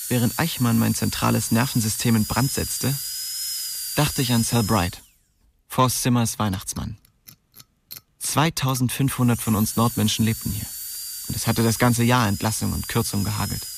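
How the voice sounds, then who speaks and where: A man's voice: medium pitch, vocal fry, background noise, natural voice. An actor playing a wry, streetwise young man narrating his own story in a radio drama. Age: young adult.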